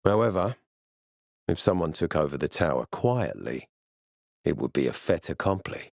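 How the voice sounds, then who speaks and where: A man playing a gruff, weary military officer in a video game, speaking with measured authority. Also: extremely low pitch, sing-song, no echo, studio quality, full character voice. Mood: commanding, measured. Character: gruff, weary military officer, commanding, later a regretful father. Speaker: game character voice actor.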